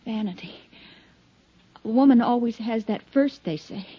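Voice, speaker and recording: A woman's voice: on the high side, normal speed, slightly rough, no echo. An actress playing a frightened, tearful young woman in an old radio drama. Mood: pleading. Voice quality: tearful, pleading. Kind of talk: 1940s radio acting. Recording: lo-fi old radio recording, faint noise.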